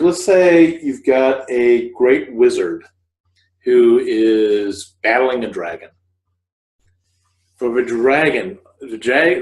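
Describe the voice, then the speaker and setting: A man's voice: mid-range voice, a little mellow. A man in his forties giving an informal, rambling instructional talk to camera, often correcting himself as he explains. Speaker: host of a scriptwriting video podcast, fiction writer. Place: living room couch, indoors. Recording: roomy, echoey home recording.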